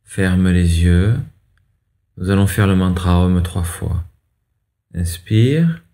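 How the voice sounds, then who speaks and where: A man guiding a yoga class, giving measured step-by-step instructions with pauses. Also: low-pitched, warm tone. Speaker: yoga teacher and radio show host. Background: music under the voice.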